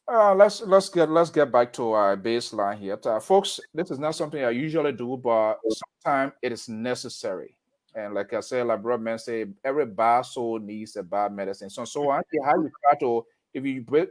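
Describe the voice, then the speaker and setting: A man hosting a radio interview, lecturing listeners in a stern way with sudden emphatic jumps in pitch. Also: brisk. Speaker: radio host.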